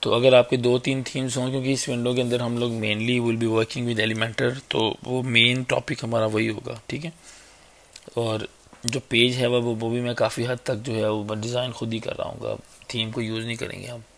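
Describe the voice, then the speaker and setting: A man with a sore, hoarse throat giving a casual, chatty screen-recorded web design tutorial on a basic mic. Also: medium pitch, level tone, normal speed, a bit bright, slight background noise. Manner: casual, chatty, fills gaps with "okay" and "theek hai". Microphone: basic mic, a better one on order.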